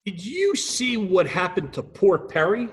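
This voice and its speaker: A man voicing an earnest, excitable owl detective in a children's storybook, dramatic and self-important. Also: high voice, measured pace, pitched above the performer's own voice.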